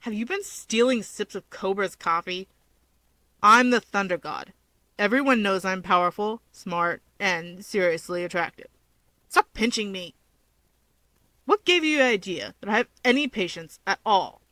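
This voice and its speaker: A woman puts on a cocky young male wizard from a fan fiction story, with a forceful, bright edge. He is blunt, sardonic and sure of himself, and the sound is dry and close. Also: medium pitch, animated, a little slow, no echo. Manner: blunt, sardonic, self-assured. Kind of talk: fan fiction character reading.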